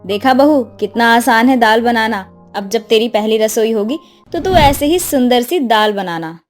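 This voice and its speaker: A woman playing a middle-aged mother and mother-in-law who instructs and gently scolds in a Hindi moral story cartoon. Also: on the high side, lively intonation, a little slow, soft background music. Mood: pleased.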